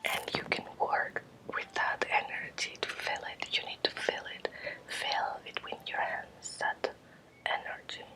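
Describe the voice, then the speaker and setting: A woman with a Spanish accent guides an ASMR meditation in a breathy whisper, in short, halting, repeated phrases. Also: poor audio. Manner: slow, halting short phrases with gentle repetition. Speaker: ASMR creator guiding a Reiki energy exercise.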